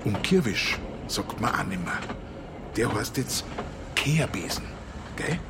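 An actor playing a kindly, deliberate old peasant grandfather with a Bavarian accent in a radio play. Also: fairly low pitch, big pitch swings, heavily acted. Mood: gently instructing. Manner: homely dialect speech, unhurried. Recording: noisy, with background ambience.

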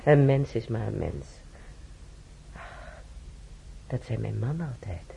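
An actress playing an older hospital patient in a radio drama, motherly and consoling, speaking haltingly and reassuringly. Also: rumbling low, animated, creaky, dark tone, husky, muffled recording, full character voice. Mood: sympathetic. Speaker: radio play actor. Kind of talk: naturalistic radio drama dialogue.

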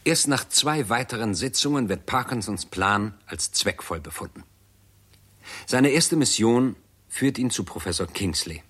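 An actor narrating a 1960s German radio play in a sober, formal, report-like manner. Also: male voice, on the low side, lively intonation, measured pace, a bit bright, slightly rough, full character voice. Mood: sober, factual.

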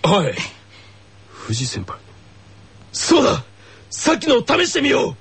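An actor playing a serious, easily flustered teenage boy athlete in an anime radio comedy, with a growly rasp and terse muttering that jumps into exclamations. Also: very high voice, wildly swooping pitch, measured pace, a bit bright, no room sound, heavily acted. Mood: startled, flustered.

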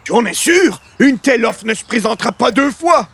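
An actor playing an excitable, grandiloquent male huckster with pompous, florid sales patter in a comic radio play. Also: extremely high pitch, moderate pace, husky, full character voice. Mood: wheedling. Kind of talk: comic radio play acting in old-time sitcom format.

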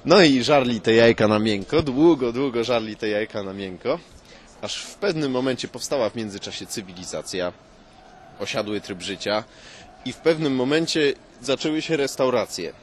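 A man's voice: medium pitch, expressive, moderate pace, a little hiss or hum. A man improvising comic stories as a mock expert guest, rambling in casual, slangy language full of swearing. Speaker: comic guest posing as a food history expert.

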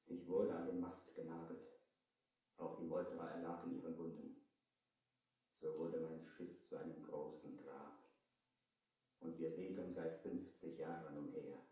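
An actor playing a cursed, dying pirate captain in a 1970s German audio play, speaking slowly, solemnly and dramatically. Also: male voice, low-pitched, level tone, warm tone. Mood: fierce, haunted. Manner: slow, solemn, dramatic delivery. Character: cursed dying pirate captain, brutal once, now grateful. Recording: cavernous echo, distant from the mic.

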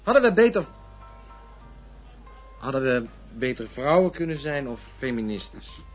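A man's voice: medium pitch, sing-song, a little slow. Crude joking man, restless, rambling, bitter asides, radio drama, lo-fi sound, faint music. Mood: agitated, provocative. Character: restless, crude, joking man brooding over love and women. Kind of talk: radio play acting. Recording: dull lo-fi radio recording with music.

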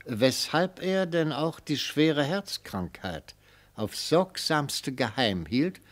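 A man's voice: a little high, animated, slow. An actor playing a sly, gleeful retired prosecutor in a radio play, an older man speaking in florid, theatrical rhetoric. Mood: oratorical, relishing. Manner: theatrical, rhetorical, relishing every phrase. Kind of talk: German radio play acting.

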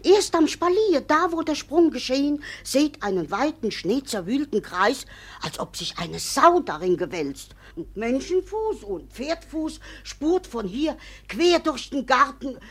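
A woman's voice: sits high, very animated, unhurried. An actress playing a talkative, indignant village woman giving superstitious testimony in emphatic, vivid fashion, in a 1950s German radio drama. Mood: emphatic, insistent. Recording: old 1950s radio recording.

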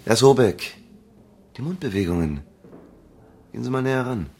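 An actor playing a weary, probing police inspector in a German radio crime drama, speaking in terse questions. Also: male voice, mid-range voice, animated, moderate pace, darkish tone, natural voice. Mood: agitated, puzzled. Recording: clear background noise.

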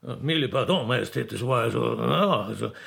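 A man plays a servile Austrian court official in a radio comedy. He hems and apologizes deferentially in a creaky voice. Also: mid-range voice, lively intonation, a little fast, fairly bright tone, pitched below the performer's own voice, exaggerated voice. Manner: hemming, deferential.

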